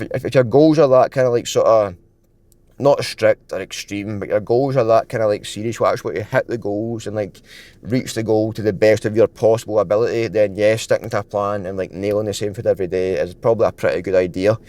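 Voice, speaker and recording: A young man in his twenties talking casually to camera in a fitness vlog, rambling with lots of filler words, his throat a bit dry and croaky. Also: on the low side, fairly even intonation, brisk, warm tone. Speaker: fitness vlogger.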